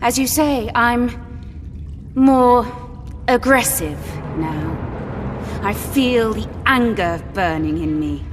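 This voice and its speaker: A woman's voice: fairly high pitch, animated, slow pace, pauses often, music in the background. An actress playing an aggressive, defiant woman back from the dead, intense and dramatic, in an audio drama. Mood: simmering anger. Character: resurrected woman, aggressive and defiant, newly filled with dark power.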